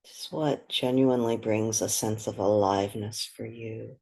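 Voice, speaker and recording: A meditation guide with a soft, breathy voice, speaking gently and unhurriedly in short phrases with long pauses. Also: mid-range voice, steady tone.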